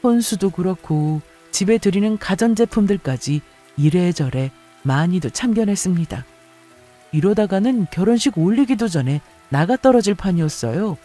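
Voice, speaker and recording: High-pitched, lively male story narrator. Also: normal speed, soft background music. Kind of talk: dramatic scripted first-person narration.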